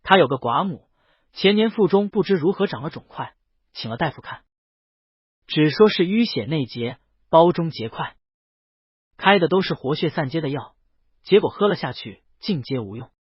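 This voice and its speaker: A man voicing a shrewd, teasing auction-house lord in an audiobook, measured and wryly amused as he explains. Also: fairly high pitch, animated, slightly rough, no room sound, lo-fi sound. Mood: calm, advising.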